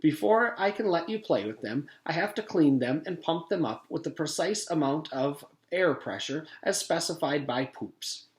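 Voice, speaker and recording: A man's voice: fairly high pitch, expressive, normal speed, few pauses, roomy sound, voice pushed up, natural voice. A man voicing a stuffy, rule-obsessed male playground supervisor in a storybook, pompous and officious. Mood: officious, stern.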